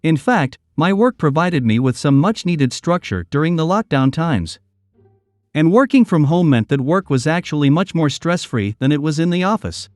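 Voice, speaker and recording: A man's voice: fairly high pitch, very animated, moderate pace, darkish tone, no room sound, clean studio sound. Man narrating over faint music. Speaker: narrator reading online posts. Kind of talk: read-aloud story narration.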